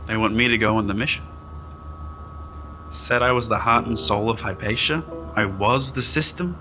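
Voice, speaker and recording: A man acts out a weary, anxious man keeping a personal log in a sci-fi audio drama. He speaks in a halting, understated way at a middling pitch, with music clearly playing behind him. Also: natural voice. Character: weary, anxious man awakened from centuries of cryosleep. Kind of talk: audio drama journal monologue.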